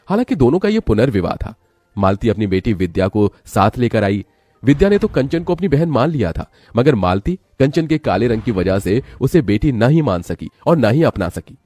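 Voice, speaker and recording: A man's voice: mid-range voice, sing-song, normal speed, mellow, dry recording, faint music behind. A man narrating a scripted story as its storyteller.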